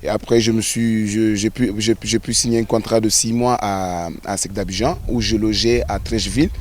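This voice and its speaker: Senegalese footballer in an interview, lowish voice, stumbles and repeats words, faint room noise. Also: male voice, steady tone, moderate pace, darkish tone. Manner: hesitant, repeats words as he restarts. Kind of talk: documentary interview.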